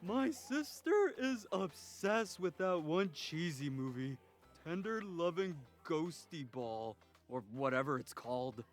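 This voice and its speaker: A man's voice: fairly high pitch, very animated, slow pace, faint music behind. A man playing an exasperated, complaining young schoolboy in a visual novel game. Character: grumbling young schoolboy who loves horror movies.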